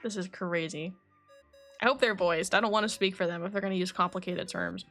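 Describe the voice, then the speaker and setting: A woman livestreaming a video game, commenting casually in a giggly, exclamatory and expressive way. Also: on the low side, fairly quick, faint music behind. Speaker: game streamer. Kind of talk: livestream game commentary, reading dialogue aloud.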